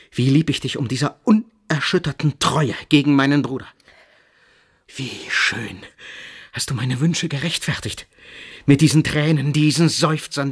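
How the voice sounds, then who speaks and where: An actor playing a scheming, flattering younger brother in a German radio play, insinuating and theatrical, often whispering. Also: male voice, fairly high pitch, slightly rough, exaggerated voice. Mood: fervent wooing.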